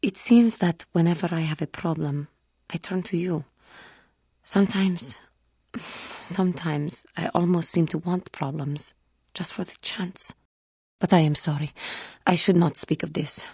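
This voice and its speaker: A woman voicing an earnest, troubled young female Jedi warrior in a video game, speaking formally and with measured care in a husky voice. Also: on the low side, fairly even intonation, mellow, dry recording, clean studio sound, exaggerated voice. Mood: vulnerable, hesitant. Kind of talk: scripted game character dialogue.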